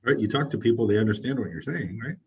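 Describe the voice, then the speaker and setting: A man's voice: on the low side, lively intonation, fast, darkish tone, highs cut off, a little hiss or hum. A man leading a real estate training session, encouraging people and asking the group questions. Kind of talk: coaching a group discussion.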